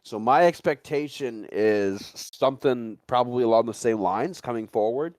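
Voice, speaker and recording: A man's voice: medium pitch, moderate pace, a little mellow, no echo. A man hosting a casual talk stream, interviewing a guest in a rambling way and often circling back to rephrase his questions. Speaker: stream host.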